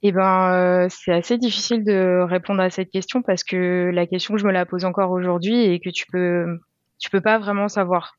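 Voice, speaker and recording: A woman in her twenties, a podcast guest, explaining things calmly and step by step with frequent hesitations. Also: fairly low pitch, normal speed, no room sound, dull audio. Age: 20s. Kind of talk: casual explanatory chat.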